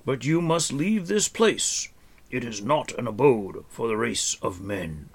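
A man's voice: medium pitch, animated, vocal fry, husky, pitched above the performer's own voice, heavily acted. A man voicing a stern, musing old hermit. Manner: slow, musing, absent-minded. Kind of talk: audiobook character voice.

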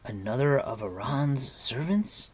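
A man voicing an impetuous, hot-tempered boy hero in a storybook, excitable and indignant. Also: medium pitch, normal speed, breathy edge, voice pushed up. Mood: anxious.

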